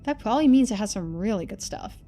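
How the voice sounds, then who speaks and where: A woman's voice: medium pitch, lively intonation, fast, warm tone, slight background noise, natural voice. A woman voicing a chatty, earnest young video maker from a story game, speaking casually and expressively. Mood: excited.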